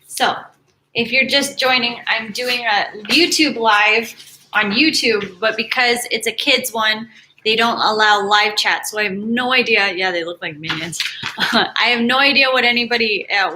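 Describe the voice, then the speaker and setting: A woman teacher reading picture books aloud to children live, animated and dramatic, with casual chatty asides. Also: on the high side, poor audio. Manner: animated, dramatic storytelling mixed with casual commentary.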